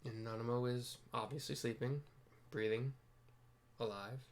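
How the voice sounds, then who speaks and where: Man, mid-pitched, game playthrough host, casual commentary, rambling, self-deprecating, hesitant phrasing. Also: fairly even intonation, a little slow. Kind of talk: casual commentary with improvised scene descriptions.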